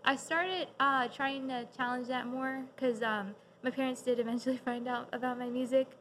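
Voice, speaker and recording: A woman's voice: high-pitched, steady tone, moderate pace, fairly bright tone, a little hiss or hum, low-quality recording. Chatty woman with many fillers. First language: English. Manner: hesitant, many fillers, laughs often. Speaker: guest. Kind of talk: casual podcast conversation.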